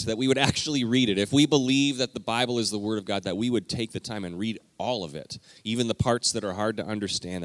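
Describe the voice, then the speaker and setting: A man with a low voice gives a teaching sermon in a church, speaking straight to the people in the pews. His delivery is lively and emphatic, and the sound is close and dry. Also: dry recording. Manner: lively, emphatic, talks directly to the congregation. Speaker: pastor. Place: church sanctuary.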